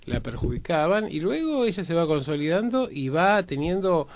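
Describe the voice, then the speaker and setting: A man with an Argentine accent speaking in measured, formal words in a panel discussion. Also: a little high, sing-song. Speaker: panelist on a history programme.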